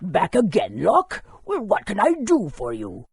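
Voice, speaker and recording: Scholarly game lore-keeper: animated pitch, gravelly creak, helpful storyteller's delivery. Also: male voice, unhurried, no echo, full character voice. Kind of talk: video game voice acting.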